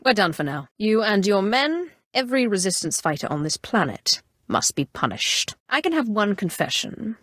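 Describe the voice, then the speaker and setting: A woman playing an imperious, calculating Sith sorcerer in a video game, with a measured, haughty and coolly threatening delivery. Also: fairly low pitch, no echo, clean studio sound, exaggerated voice. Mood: stern, commanding. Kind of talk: scripted game character dialogue.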